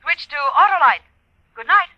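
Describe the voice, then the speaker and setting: Very high-pitched woman radio announcer. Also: animated, moderate pace, no room sound. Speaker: commercial announcer. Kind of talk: 1950s scripted radio advertising. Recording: dull, dry old radio recording.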